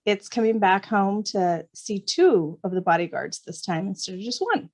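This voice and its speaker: Female author interviewee, mid-range pitch, casual conversational delivery. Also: lively intonation, normal speed, darkish tone. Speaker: author guest.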